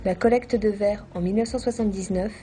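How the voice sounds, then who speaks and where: A woman reading a scripted documentary narration in a measured, formal manner. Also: mid-range voice, steady tone, warm tone, soft background music.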